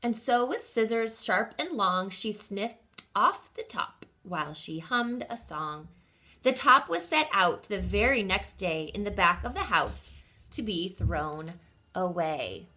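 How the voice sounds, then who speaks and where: A woman reading a picture book aloud to children, expressive and putting questions to her young listeners. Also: mid-range voice, takes it slowly. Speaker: children's story reader.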